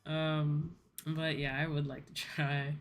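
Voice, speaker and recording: Sleepy young woman, low-pitched, livestream chat. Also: level tone. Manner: rambling, drawn-out, repeats phrases playfully. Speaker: VTuber livestream host. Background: background music.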